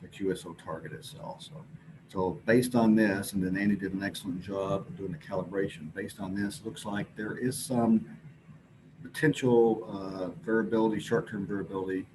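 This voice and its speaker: A man presenting scientific slides informally, explaining in a halting, hesitant way with pauses. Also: on the low side, steady tone. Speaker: presenter at an astronomy symposium. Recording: clearly noisy recording with background ambience.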